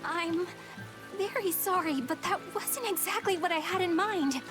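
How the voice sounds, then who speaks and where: Earnest, high-voiced young exorcist. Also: female voice, unhurried. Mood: flustered. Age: young adult. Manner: polite, sincere. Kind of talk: video game voice acting. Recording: music behind.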